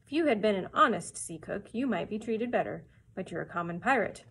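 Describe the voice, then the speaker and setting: A woman's voice: mid-range voice, animated, fairly quick, fairly bright tone, slight background noise. A woman voicing a stern sea captain in a storybook, curt, commanding and full of disdain. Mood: scornful.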